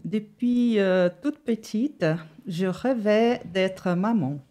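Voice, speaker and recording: Woman, mellow and slow-paced. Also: mid-range voice. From Brazil. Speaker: guest giving testimony. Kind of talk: personal testimony in an interview. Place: studio set.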